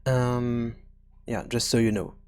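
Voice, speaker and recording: A man presenting an unscripted programming tutorial, hesitant and halting as he thinks aloud. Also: mid-range voice, little pitch movement, smooth.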